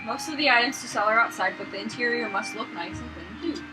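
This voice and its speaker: High-voiced woman narrating, noisy recording. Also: quick pace. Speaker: let's play host. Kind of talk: reading visual novel narration aloud. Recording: noisy room recording, no proper mic.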